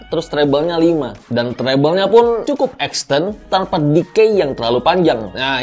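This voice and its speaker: A man's voice: fairly high pitch, a little mellow. An Indonesian man presenting a casual gear review in an informal, explanatory, conversational way.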